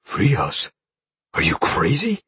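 A man voicing an incredulous, exclaiming male captive in a fantasy story, with a husky voice. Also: low-pitched, huge pitch swings, takes it slowly, fairly bright tone, no echo, heavily acted. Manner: exclaiming, disbelieving.

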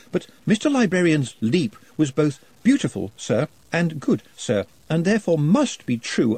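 A man voicing a quiet, earnest, humble young man in a storybook reading, speaking softly. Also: fairly high pitch, slightly rough, no room sound, voice pushed up. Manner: soft, small, earnest.

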